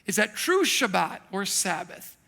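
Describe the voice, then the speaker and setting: A man preaching a sermon as a pastor, telling animated, self-deprecating stories from his childhood in Thailand. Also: high voice, unhurried, gravelly. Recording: long hall reverb.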